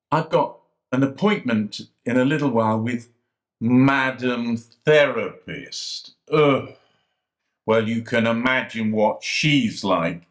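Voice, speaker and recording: An actor playing a sly, self-pitying jailed man who swings between hushed confiding and sudden outbursts. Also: medium pitch. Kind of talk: dramatic monologue to a visitor. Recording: echoey room.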